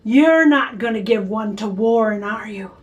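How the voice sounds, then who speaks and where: A woman voicing a teasing, know-it-all big brother in a high, needling voice. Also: normal speed, muffled recording, lightly acted. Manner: squeaky, needling. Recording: distant from the mic.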